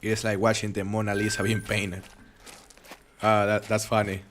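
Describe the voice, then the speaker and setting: Young male game streamer, relaxed and rambling, accented, lowish voice, faint hum behind. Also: normal speed. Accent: foreign accent. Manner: relaxed, chatty, rambling with frequent restarts. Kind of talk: live game stream commentary reading chat.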